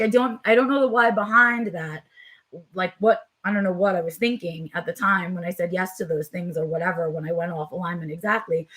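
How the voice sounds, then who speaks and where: A woman hosting her own live vlog in a casual, chatty way, her voice a little raspy and tired, with frequent ums as she talks straight to her viewers. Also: lowish voice, fairly bright tone. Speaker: vlog host. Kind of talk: casual unscripted live chat.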